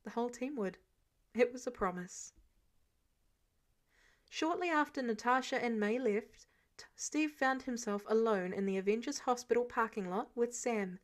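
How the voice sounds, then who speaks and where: A woman reading fiction aloud as a narrator, in an expressive scripted storytelling style. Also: a little high.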